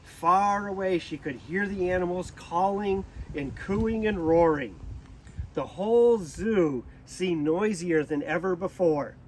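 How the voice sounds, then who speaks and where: A man reading a children's storybook aloud in a deliberate manner, occasionally stumbling over a word. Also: high-pitched, a little hiss or hum. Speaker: zoo director reading a storybook.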